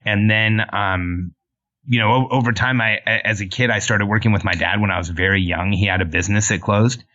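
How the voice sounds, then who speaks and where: Low-voiced man on a muffled line. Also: steady tone, a little fast, bright, forceful tone. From Mexico and Southern California. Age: 40s. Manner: earnest, with frequent restarts. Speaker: podcast guest. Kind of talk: casual interview storytelling.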